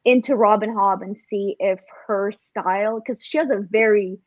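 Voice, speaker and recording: A woman's voice: mid-range voice, normal speed, no echo. A woman co-hosting a casual book discussion, speaking conversationally over lo-fi call audio. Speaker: co-host on a book discussion livestream. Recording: dull, dry lo-fi call audio.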